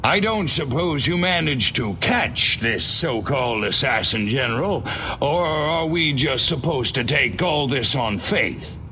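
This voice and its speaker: A haughty, sardonic alien invader from a video game. The delivery is drawn-out and menacing, with big swings in pitch. Clear outdoor game noise sits behind the voice. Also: male voice, lively intonation, sharp tone. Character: arrogant male alien invader posing as a human, contemptuous and sardonic.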